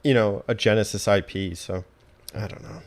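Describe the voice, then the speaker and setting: A man with a somewhat low voice hosts a casual podcast. He speaks informally and in a laid-back way, as if talking games with a friend. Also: fairly even intonation, moderate pace. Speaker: podcast host. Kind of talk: casual conversation about video games.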